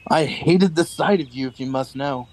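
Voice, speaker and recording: A man's voice: on the high side, normal speed, darkish tone, lightly acted. An actor playing a nervous, weary man of thirty-nine in a radio play, hesitant and earnest. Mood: earnest, guarded. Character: nervous, weary thirty-nine-year-old rebel clerk.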